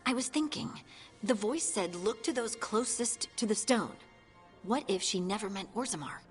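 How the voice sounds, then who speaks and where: A woman's voice: medium pitch, expressive, normal speed, faint music behind, close to an everyday voice. A woman playing an earnest, inquisitive dwarf scout in a fantasy video game. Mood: thoughtful, earnest. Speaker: voice actor. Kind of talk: video game cutscene acting.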